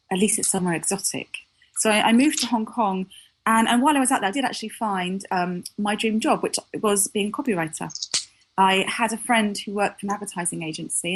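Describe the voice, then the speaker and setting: A woman's voice: mid-range voice, husky, low-quality recording. A woman, a guest telling her career story candidly in a casual interview. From south of England. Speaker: guest, copywriter.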